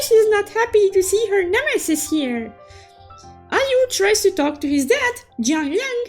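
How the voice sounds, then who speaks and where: A woman voicing a cute, excitable young unicorn who recaps a story with playful, theatrical exclamations. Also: very high voice, full character voice. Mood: excited, dramatic. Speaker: storytelling host. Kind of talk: animated story recap narration.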